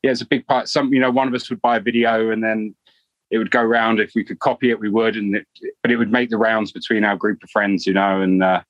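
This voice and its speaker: A man's voice: on the low side, quick pace, no room sound, highs cut off. A man in his forties with a London accent, a guitarist chatting casually in an interview with lots of fillers. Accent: London English. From England. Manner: relaxed, rambling, many fillers.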